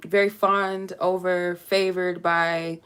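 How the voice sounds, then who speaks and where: A woman's voice: lowish voice, level tone, slow, a bit bright, clear, resonant tone. A woman doing a casual, meandering tarot reading, chatty and lightly joking, sounding stuffy from a cold. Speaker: tarot reader. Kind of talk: casual spiritual reading to camera.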